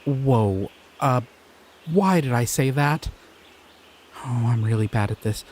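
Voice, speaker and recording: A young man voicing a shy, excitable male slime creature in an audio roleplay, talking in an earnest, flustered, giggly way. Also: medium pitch, slow pace, slight background noise, lightly acted. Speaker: voice actor.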